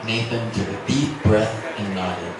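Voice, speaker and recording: A man's voice: fairly low pitch, unhurried, loud music behind the voice. A man giving a dramatic, scripted narration of a romance novel as a reader at a literary event. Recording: echoey, noisy room from far off.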